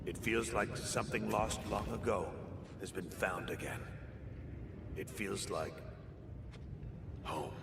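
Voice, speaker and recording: A man voicing a brooding, grandiose fantasy villain in a video game, solemn and theatrical, with a gravelly voice. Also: fairly low pitch, slow, long pauses, very noisy. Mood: wistful, solemn. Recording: echoey, loud ambience behind.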